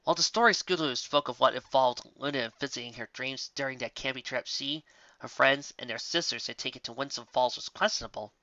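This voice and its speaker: Bright, forceful man narrating. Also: medium pitch, no room sound. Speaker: story reader. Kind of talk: scripted narration. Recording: dull, muffled, very dry.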